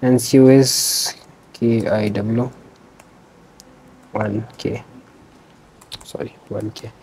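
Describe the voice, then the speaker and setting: A young man talks through a screen demo in a small room, recorded on a laptop mic. His voice sits low and a little husky, with a mellow, soft tone. He talks in a loose, unscripted way, full of restarts. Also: fairly even intonation, drawn-out words, low-quality recording. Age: young adult. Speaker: student presenting a project.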